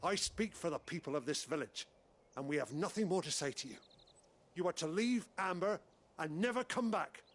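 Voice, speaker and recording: An actor playing a stern, wary village leader in a fantasy video game, husky and firm, speaking formally. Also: male voice, a little high, animated, normal speed, a bit bright. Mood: stern, hostile. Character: stern, wary male village leader, proudly resigned to submission. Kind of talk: scripted video game acting.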